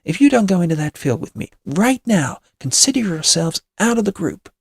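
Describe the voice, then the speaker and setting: A woman's voice: deep voice, animated, moderate pace, breathy edge, dry recording, studio quality, pitched above the performer's own voice, exaggerated voice. A woman voicing a mean, bossy young girl in a witch costume, taunting in valley-girl slang. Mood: scornful, bossy.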